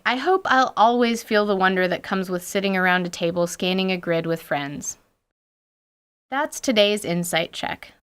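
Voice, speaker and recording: A woman's voice: medium pitch, a bit bright. Woman host with playful, mock-dramatic delivery. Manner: theatrical emphasis and mock-dramatic asides. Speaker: podcast host and game master. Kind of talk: scripted, playful commentary on tabletop gaming.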